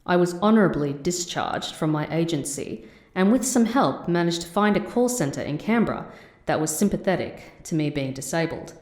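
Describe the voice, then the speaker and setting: A woman playing a composed, wry former agent working in a call centre in an expressive audio drama. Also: fairly low pitch, moderate pace, reverberant, lightly acted. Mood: somber, reflective. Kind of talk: audio drama narration and dialogue.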